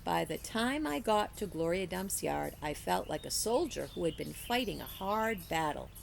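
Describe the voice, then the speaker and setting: A woman reading a children's story aloud in an expressive storytelling style, like a teacher with a class book. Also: on the low side, normal speed, gravelly, a little hiss or hum.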